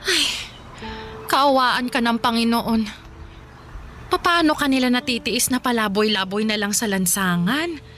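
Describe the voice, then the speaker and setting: An actress playing a kind, devout elderly Filipino woman in a radio drama, speaking gently with caring, pitying exclamations. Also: on the high side, unhurried, pauses often. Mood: concerned, pitying. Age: older. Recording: music bed under the voice.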